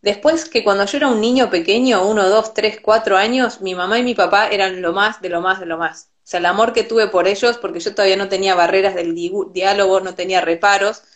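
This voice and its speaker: A reflective woman speaking with an Argentine accent, talking things through at an even, measured pitch. She often restarts her words as she thinks. She comes through a video call. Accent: Argentine Spanish. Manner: thoughtful, repeats words when restarting. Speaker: Ayurveda teacher, guest. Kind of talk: reflective conversational explanation.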